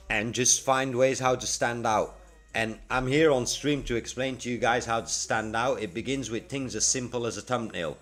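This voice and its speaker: A man around forty streams live with music playing faintly behind him. He chats casually to his viewers, using plenty of slang and keeping an easygoing manner. His pitch sits in an ordinary middle range. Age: 40s. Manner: laid-back, slangy. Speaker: livestreamer and freelance web developer. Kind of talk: casual livestream chat with viewers.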